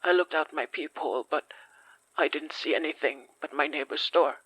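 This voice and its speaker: Horror audio drama: a reluctant, apologetic survivor of a fire, rasping yet bright, halting delivery. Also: female voice, low-pitched, no echo, poor audio. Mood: uneasy recollection. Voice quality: hoarse, breathy. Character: shaken, hesitant fire survivor recounting something strange.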